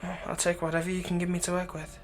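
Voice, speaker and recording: A man playing a doting, slyly manipulative villain captor in an intimate ASMR roleplay, speaking gently and coaxingly with unhurried pauses. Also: sits high, completely flat delivery, a little hiss or hum. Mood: gentle, attentive.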